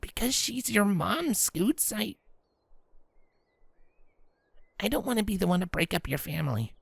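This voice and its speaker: A woman playing a protective adult female pegasus, casual and earnest, with a slightly gravelly voice in an audio story. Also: mid-range voice, very animated, a little fast, no echo, studio quality, full character voice. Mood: hesitant, gentle. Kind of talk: dramatic audio story acting.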